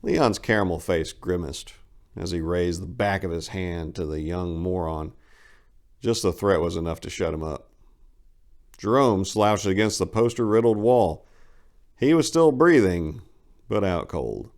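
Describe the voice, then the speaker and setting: A man's voice: deep voice, unhurried. A man giving a theatrical, emphatic dramatic reading of a short story as narrator.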